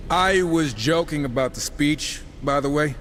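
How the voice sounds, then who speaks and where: A man's voice: a little high, unhurried, heavy background noise, lightly acted. A man playing a hot-headed, sarcastic warrior in a game cutscene, wry and swaggering. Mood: flippant. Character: cocky, sarcastic, hot-headed male horseman warrior gunslinger. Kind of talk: video game cutscene acting.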